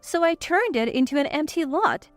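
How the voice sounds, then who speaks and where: Narrator as unyielding wife, scornful and firm, high animated female voice. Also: normal speed, dry recording, faint music behind. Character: cool, resolute wife divorcing her husband.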